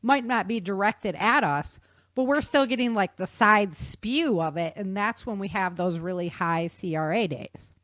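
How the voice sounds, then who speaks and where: A woman's voice: mid-range voice, normal speed, fairly bright tone, dry recording. A woman hosts a podcast in a casual, explanatory style. Her mid-range voice is enthusiastic and folksy, with informal phrasing. The sound is dry and close, with hardly any room in it. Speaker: podcast host.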